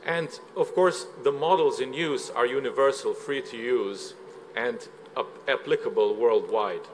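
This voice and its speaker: A man from Slovenia giving a measured, explanatory conference presentation, with frequent filler sounds. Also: medium pitch, a little hiss or hum. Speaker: conference speaker. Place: conference hall.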